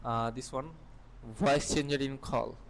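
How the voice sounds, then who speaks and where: A man hosting a tutorial, reading scripted how-to narration in a somewhat halting way. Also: medium pitch, steady tone, gravelly, slight background noise. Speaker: tutorial host.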